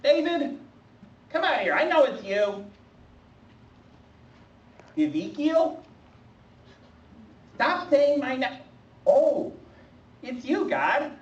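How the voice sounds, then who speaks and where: A whiny, stammering young prophet with a squeaky lisp, as a puppeteer puts him on for a children's church skit. He speaks slowly, with pitch that leaps all over. The sound is muffled and echoey, caught from a distance with faint noise. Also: sing-song, exaggerated voice. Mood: panicky. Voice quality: squeaky, lisping chipmunk-like voice. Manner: stammering, fretful complaining. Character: young reluctant prophet Jeremiah, nervous and whiny.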